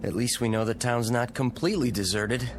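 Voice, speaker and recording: An actor playing an irritable, sardonic husband with an American accent in a mid-century television drama. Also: male voice, mid-range voice, fairly quick, noisy background, close to an everyday voice. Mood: wry, determined. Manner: sardonic, gruff, dismissive. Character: cynical, irritable husband stranded in a strange town.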